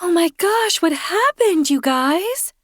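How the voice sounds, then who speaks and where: Woman voicing a bubbly flustered young woman, high gushing voice, dry close recording. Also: expressive, slow, no room sound, exaggerated voice. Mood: alarmed.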